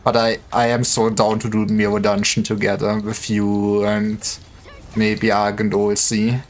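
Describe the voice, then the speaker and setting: A man's voice: on the low side, monotone, a little slow. Rambling, stammering man chatting on stream. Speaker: livestreamer. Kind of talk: casual gaming livestream chat.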